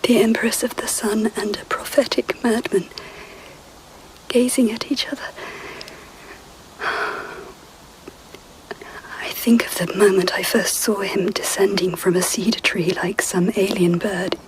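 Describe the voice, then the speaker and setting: An actress playing a poised, guarded empress in a radio drama, with measured, formal phrasing. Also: female voice, mid-range voice, steady tone, slight background noise, lightly acted, rough recording. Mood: hushed wonder. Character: regal Japanese empress, poised, intimate, guarded.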